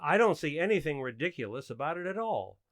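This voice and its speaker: A man's voice: a little high, big pitch swings, quick pace, a bit bright, dry recording. A man plays a suburban husband in old-time radio comedy. He is earnest and a little fussy, with very varied intonation. The sound is close and dry. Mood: insistent.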